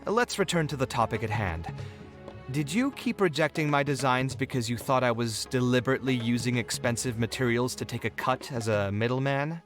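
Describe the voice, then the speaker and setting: An actor playing a principled, scholarly young architect in a video game, articulate and reflective in an emotive way. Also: male voice, medium pitch, a little fast, over music, close to an everyday voice. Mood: sighing, philosophical. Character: principled young male architect, scholarly, expressive, too trusting.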